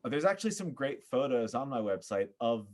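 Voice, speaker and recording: A man speaking as a podcast guest in a casual interview, earnest and effusive, often pausing to think. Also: medium pitch, very animated, darkish tone. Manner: earnest, effusive, halting with thoughtful pauses. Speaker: podcast guest, theater performer.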